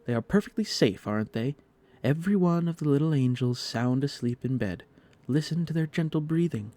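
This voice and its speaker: A man's voice: a little high, expressive, a little fast, mellow, no room sound, voice pushed up, natural voice. Guy doing a cross storybook housemaid, bossy telling-off, voice pushed up a bit. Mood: dismissive, cross. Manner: stern scolding. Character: cross, stern housemaid, scolding and dismissive.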